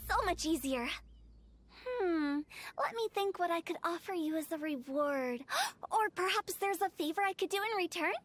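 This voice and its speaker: Playful young female funeral director, very high voice, teasing, macabre sales patter, game voice acting. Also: a bit bright.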